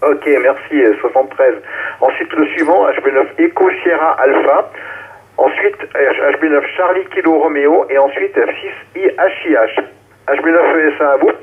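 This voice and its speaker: A man's voice: on the high side, moderate pace. A man acting as net controller, calling stations in turn over an amateur radio relay. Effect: amateur radio transmission. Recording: narrowband, phone-like ham radio relay.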